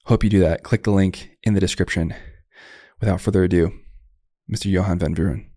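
Young guy hosting a podcast, low voice, dry sound, super enthusiastic. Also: steady tone, fairly quick, dark tone, no room sound. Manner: enthusiastic, effusive praise. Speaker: podcast host. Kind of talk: podcast ad read and interview.

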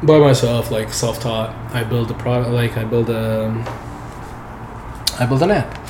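A man's voice: medium pitch, unhurried. Laid-back young man in an echoey room. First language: not English. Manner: relaxed, rambling, laughs often, many "like"s. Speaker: software developer guest. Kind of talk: casual interview chat. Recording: echoey room with background noise.

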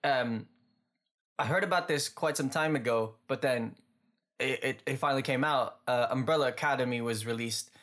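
This is a man co-hosting a podcast and settling into a relaxed chat. His voice sits at a middling pitch for a man, and he rambles through his stories with plenty of fillers and laughter. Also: normal speed. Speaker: podcast co-host. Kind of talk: casual chat.

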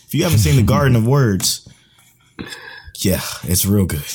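Husky low-voiced man on a podcast. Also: sing-song, moderate pace, darkish tone. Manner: slangy, laid-back, trailing off. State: losing his voice. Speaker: podcast co-host. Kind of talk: casual podcast chat.

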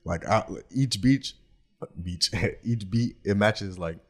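A deep-voiced man with a Southern Californian accent chats easily as a podcast guest. His low voice stays relaxed, and he leans on fillers and "you feel me" as he tells his story. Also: takes it slowly. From Anaheim, California. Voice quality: naturally deep voice. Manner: laid-back, filler-heavy, says "you feel me" a lot. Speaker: guest rapper. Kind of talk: casual podcast chat.

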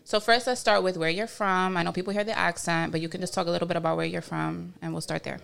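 A woman hosting a podcast, asking questions in a relaxed way and often murmuring agreement. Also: lowish voice, quick pace, creaky, clear, resonant tone. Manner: relaxed interviewer, frequent affirmations. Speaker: podcast host. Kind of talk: casual chat.

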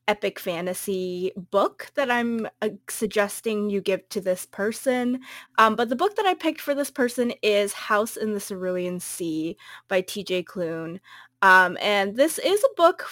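A woman's voice: fairly high pitch, fairly bright tone, no room sound, studio quality. A woman co-hosting a podcast, chatting casually about a book she recommends, with many ums and likes and frequent laughs. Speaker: podcast co-host.

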